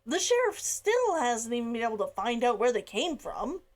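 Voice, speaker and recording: A woman voicing a gentle, earnest young man who calmly reasons with someone in a storybook read-along. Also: extremely high pitch, normal speed, exaggerated voice. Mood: insistent. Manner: calm, reasoning delivery.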